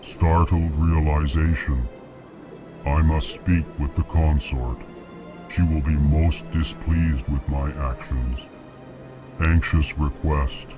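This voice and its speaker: A man playing a ponderous alien merchant in a video game, speaking in a flat, formal, deliberate way and announcing each feeling. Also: rumbling low, music in the background. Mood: ponderous, polite. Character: slow, ponderous alien merchant who announces his emotions.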